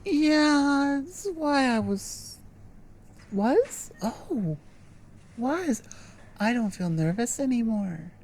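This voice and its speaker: Slow, hesitant goblin girl played by a woman. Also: on the high side, expressive, darkish tone, exaggerated voice. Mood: relieved, giddy. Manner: hesitant, rambling, earnest, halting. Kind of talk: ASMR roleplay audio drama.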